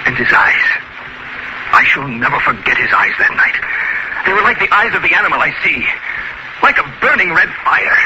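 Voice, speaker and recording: An actor playing a nervous, haunted gentleman scholar recounting his terror in agitated, dramatic old radio horror style. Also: on the high side, very animated, moderate pace, long pauses. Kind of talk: 1940s radio horror acting. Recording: noisy, dull old radio drama recording.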